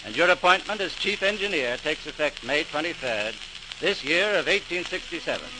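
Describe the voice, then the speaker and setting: Actor as formal, higher-voiced old-radio official. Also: male voice, animated, moderate pace, noisy background, natural voice. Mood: official, approving. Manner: formal, stately, declamatory. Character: formal male official granting an appointment. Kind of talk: 1940s radio drama acting.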